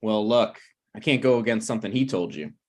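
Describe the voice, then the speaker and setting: A man's voice: fairly low pitch, animated, a little fast. A man playing an awkward, meddling friend in a sitcom table read, hesitant and apologetic yet blunt. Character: awkward, opinionated, meddling male friend of a married couple. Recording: online video call table read.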